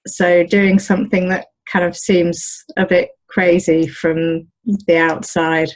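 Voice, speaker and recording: A British woman talking casually in an interview as the owner of an olive grove, pausing often with ums and restarts. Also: lowish voice, little pitch movement. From United Kingdom. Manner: hesitant, many ums and restarts.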